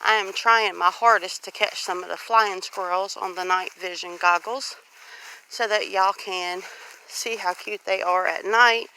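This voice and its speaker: A woman's voice: mid-range voice, steady tone, measured pace, sharp tone, poor audio. A woman with a Southern US accent chats in a folksy way, explaining things as she walks and films a casual vlog. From Louisiana, US. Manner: chatty, folksy, explaining while walking. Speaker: homestead vlogger. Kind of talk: casual walk-and-talk vlog. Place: outdoors on a rural homestead. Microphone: handheld phone. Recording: faint outdoor noise, handheld phone.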